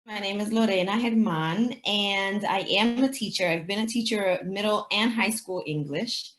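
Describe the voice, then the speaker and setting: A woman's voice: mid-range voice, moderate pace, fairly bright tone. A woman from the Dominican Republic, a native Spanish speaker, explains things conversationally as an educator being interviewed, thinking aloud and posing rhetorical questions. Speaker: teacher and anti-racism educator, interviewee. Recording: roomy, echoing sound.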